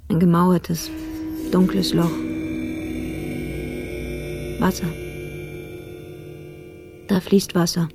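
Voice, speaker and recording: An actress playing an uneasy woman drawn into a detective's nightmare in a radio play, speaking in halting fragments. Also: lowish voice, gravelly, darkish tone, slightly rough, heavily acted. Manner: halting, short fragmented phrases.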